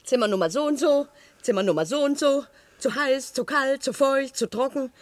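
A woman's voice: high voice, normal speed, no room sound, natural voice. An actress playing a conciliatory, dreamy and slightly muddled housewife in a German radio play, hesitant and musing as she talks. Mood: weary, irritated.

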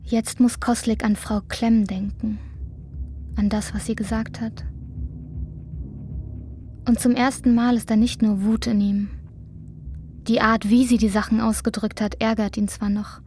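A woman narrating a literary radio drama in a measured, introspective way. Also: fairly high pitch, darkish tone.

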